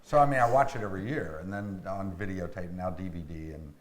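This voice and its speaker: An older American man chatting casually as an interview guest, telling things in a halting, repeating way. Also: low voice, a crowd in the background. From Memphis, United States. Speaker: actor in a community theatre, interview guest. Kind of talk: casual chat. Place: indoor room, television interview. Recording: echoey room.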